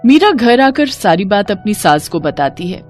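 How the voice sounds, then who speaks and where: A woman's voice: medium pitch, big pitch swings, moderate pace, a little mellow, faint music behind. A woman narrating a scripted cartoon family story.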